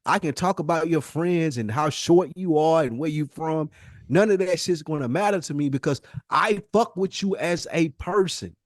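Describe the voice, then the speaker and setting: A man's voice: on the high side, moderate pace, no room sound, clean studio sound. An American man co-hosting a podcast in casual, argumentative chat, blunt and emphatic, repeating himself to press his point. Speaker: podcast co-host.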